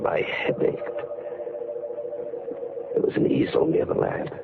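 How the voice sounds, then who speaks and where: A man's voice: takes it slowly, heavy background noise, close to an everyday voice. An actor playing a haunted, desperate man telling of his descent into madness, in intense 1940s radio mystery style. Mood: haunted narration. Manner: dramatic, brooding, intense. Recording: tinny vintage radio recording with music behind.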